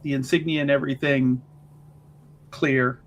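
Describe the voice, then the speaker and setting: A man's voice: mid-range voice, flat delivery, unhurried. A man playing a tabletop game, describing his character's actions and asking questions in an improvised, casual way. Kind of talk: improvised roleplay chat.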